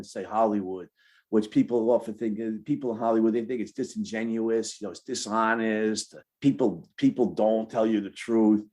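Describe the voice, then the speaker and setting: A man with a Brooklyn accent talking casually in an interview, haltingly, repeating words and phrases as he goes. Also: on the low side, a little mellow. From Brooklyn, New York. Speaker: author being interviewed.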